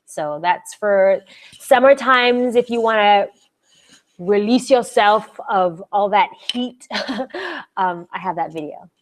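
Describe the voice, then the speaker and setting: A young woman from Canada chats through a live stream Q&A in a voice of ordinary pitch for a woman. She is bubbly and talkative, giggles often, and fills her answers with "um" and "like" as she reads and answers viewer comments. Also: slow pace, slight background noise. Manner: bubbly and chatty, giggles often, many fillers and restarts. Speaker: YouTuber hosting a live Q&A.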